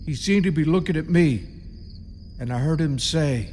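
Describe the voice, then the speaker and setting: An actor playing an eerie rural caretaker of a haunted tomb in a radio play, telling it in a halting, ominous, folksy way. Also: male voice, on the high side, darkish tone, lightly acted. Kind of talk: radio play horror storytelling. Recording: reverberant radio drama, audible background noise.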